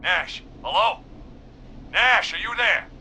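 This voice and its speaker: A man voicing an excitable, nervous newspaper editor in a video game, with a fussy, agitated, blustering manner. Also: high-pitched, slow pace, a little hiss or hum, full character voice. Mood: frantic, exasperated.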